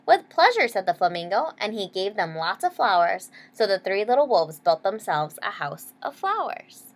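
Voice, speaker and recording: A woman reading a children's picture book aloud expressively, pausing to explain words and ask young listeners questions. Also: medium pitch, big pitch swings. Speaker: read-aloud storyteller. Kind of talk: expressive children's story narration.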